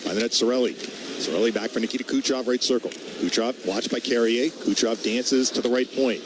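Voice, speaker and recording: Rapid-fire male hockey radio play-by-play announcer. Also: medium pitch, background noise. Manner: clipped rapid-fire phrases, calling each move as it happens.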